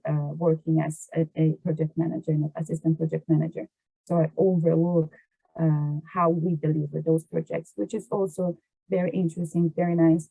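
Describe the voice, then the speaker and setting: A woman with a Brazilian Portuguese accent speaks in a very soft-edged, dark and slightly low voice, recorded dry and close. She explains things as an interview guest, pausing often to find her words. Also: no room sound, poor audio. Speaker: podcast guest, water resources engineer.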